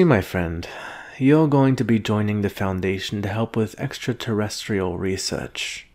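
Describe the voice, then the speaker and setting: A man performs a male alien specialist for a shadowy agency in an ASMR roleplay. His voice sits fairly low and moves very slowly. He is composed and measured, with a knowing, condescending manner toward the captive he addresses. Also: creaky, a little mellow, heavily acted. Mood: calm, patronizing.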